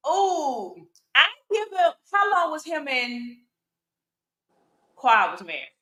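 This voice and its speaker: A woman co-hosting a reality TV opinion panel in a casual chat, animated and opinionated, with lots of exclamations. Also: sits high, takes it slowly. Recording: echoey room.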